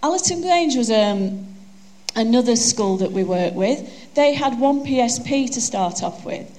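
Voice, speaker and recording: A woman's voice: a little high, lively intonation, a little slow, dark tone. Broad Yorkshire woman presenting. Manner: chatty, self-deprecating, joking. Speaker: conference presenter. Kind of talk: conference presentation. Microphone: handheld microphone.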